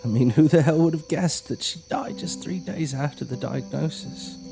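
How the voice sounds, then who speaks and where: A man acting naturally in an audio drama as a blunt, grudging little brother, gruff and casual. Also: on the high side, normal speed, mellow, faint music behind. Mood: earnest, apologetic.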